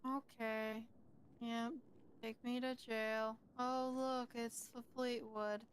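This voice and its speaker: A woman improvising in-character game dialogue as a self-confessed criminal, in a deadpan, nonchalant manner. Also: fairly high pitch, takes it slowly, a little hiss or hum. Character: self-confessed male criminal, cheerfully accepting blame. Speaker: role-play gamer playing a suspect.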